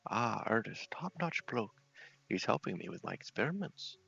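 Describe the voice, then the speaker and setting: A man's voice: lowish voice, sing-song, normal speed, vocal fry, fairly bright tone, breathy edge, lo-fi sound, soft background music, full character voice. A man voicing an eccentric, polite, muddled scientist with fussy speech in an ASMR game roleplay. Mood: matter-of-fact, genial.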